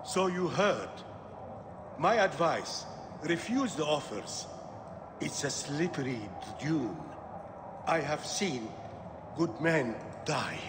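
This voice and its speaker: Weary gladiator trainer in a game, high voice, slow n somber, echoey w noise. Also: male voice, animated. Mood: grave, warning. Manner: halting, somber, cautioning. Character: weary, wary male gladiator trainer, haunted mercenary. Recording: reverberant hall, noisy background.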